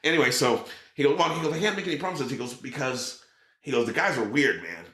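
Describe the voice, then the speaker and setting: A middle-aged man telling a story in casual chat, acting out quotes with a raised voice. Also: fast talker. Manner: animated, acts out quotes with raised pitch. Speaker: podcast guest. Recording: roomy echo.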